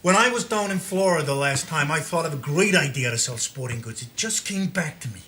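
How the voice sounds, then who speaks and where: An actor playing an eager, glib younger brother, a big dreamer with a very husky, persuasive voice, in a stage drama style. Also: male voice, fairly high pitch, animated, brisk, very noisy, lightly acted. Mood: eager pitching. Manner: boosterish, persuasive.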